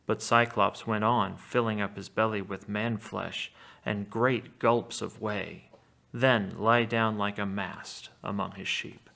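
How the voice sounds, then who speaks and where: A man with a gravelly voice, a teacher reading a story aloud and commenting on it casually. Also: fairly low pitch, fairly even intonation, slow pace, pushed and bright.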